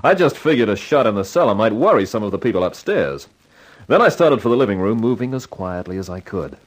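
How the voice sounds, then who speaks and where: An actor playing a self-assured, slangy, wry amateur sleuth in a 1950s radio crime drama. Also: male voice, fairly low pitch, very animated, fairly quick, husky. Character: wisecracking, hard-boiled male amateur sleuth, self-assured.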